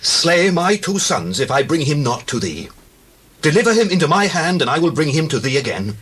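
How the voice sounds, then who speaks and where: An actor playing a passionate, insistent brother in a scripture drama, declaiming forcefully. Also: male voice, a little high, sing-song, normal speed, husky, heavily acted. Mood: pleading. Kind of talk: dramatized scripture reading in archaic English.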